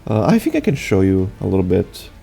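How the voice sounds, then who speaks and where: A young adult man whose first language is Portuguese, speaking English with a Brazilian accent and giving a casual, halting channel update full of fillers and restarts. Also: low voice, wildly swooping pitch, gravelly, warm tone, faint music behind. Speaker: channel host.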